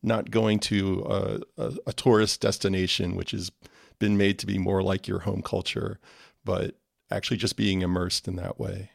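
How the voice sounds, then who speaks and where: A man's voice: deep voice, level tone, normal speed, vocal fry, no room sound, clean studio sound. A man hosting a podcast, asking questions in an interested, informal conversational style.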